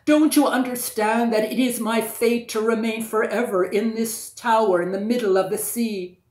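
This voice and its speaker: Roomy echo, woman playing lonely folktale princess, raised pitch, loud plaintive cries, drawn-out repeated words. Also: steady tone, natural voice. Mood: anguished. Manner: crying out, drawn-out, repetitive. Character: lonely young princess in a tower, plaintive and fated. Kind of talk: oral folktale storytelling. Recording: echoey, roomy sound.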